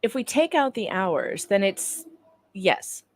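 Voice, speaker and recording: A woman's voice: medium pitch, big pitch swings, slow pace. A woman doing a dramatic reading of courtroom dialogue in a conversational, theatrical way. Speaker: panelist reading court transcript parts.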